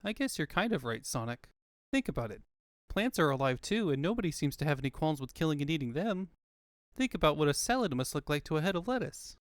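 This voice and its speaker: A man's voice: fairly high pitch, big pitch swings, fast talker, no room sound, studio quality. A man voicing an earnest, anxious boy fox sidekick who muses and questions, in a comic book fandub. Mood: musing. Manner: questioning, musing.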